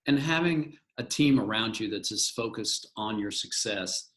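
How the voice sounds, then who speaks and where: A man's voice: fairly low pitch, animated, unhurried, fairly bright tone. Enthusiastic middle-aged male moderator. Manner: enthusiastic, repeats words while searching. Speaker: panel moderator, alumnus. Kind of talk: moderating a live panel.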